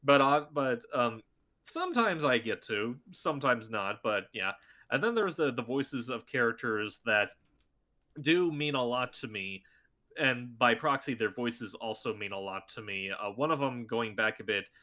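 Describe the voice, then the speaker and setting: Man, guest voice actor, crisp bright tone, casual rambling chat. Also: mid-range voice, expressive, unhurried. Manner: chatty, rambling with many restarts. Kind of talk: casual podcast interview.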